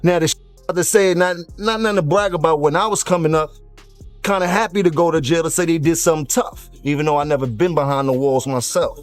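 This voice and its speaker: Higher-pitched American man talking street life. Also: quick pace, no room sound, faint music behind. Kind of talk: casual street commentary.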